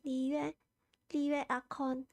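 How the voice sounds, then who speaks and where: A woman's voice: high voice, flat delivery, slow pace, creaky, no echo. A woman with a Malaysian accent chatting casually on a game livestream, talking half to herself in short, repetitive fragments. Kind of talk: casual livestream chat while playing a game. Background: game music.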